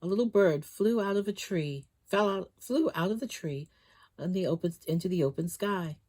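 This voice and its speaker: A woman storytime host reading a children's book aloud in an expressive, dramatic way that leans on key words. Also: on the low side, moderate pace.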